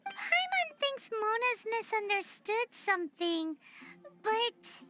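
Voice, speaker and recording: A woman voicing a tiny, excitable, childlike fairy companion with a squeaky voice in an animated fantasy game. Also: takes it slowly, soft background music, full character voice. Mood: puzzled.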